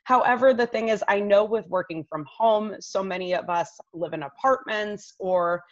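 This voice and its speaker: A woman's voice: mid-range voice, normal speed. A woman in her thirties giving informal, explanatory expert advice straight to the listener on a video call from her living room. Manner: conversational, explanatory, addresses listener directly. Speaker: image coach giving expert tips. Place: living room at home, indoors. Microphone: laptop microphone on a video call. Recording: slightly dull, roomy webcam audio.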